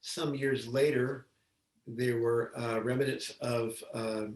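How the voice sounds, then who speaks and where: A man delivers a Buddhist talk at a very unhurried pace, pausing often as he chooses his words. His pitch is mid-range, and he sounds set back from the microphone in a reverberant room, as on a video call. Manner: halting, deliberate delivery with long pauses. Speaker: Zen Buddhist teacher giving a talk. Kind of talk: measured dharma talk. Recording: roomy, echoey, distant microphone on video call.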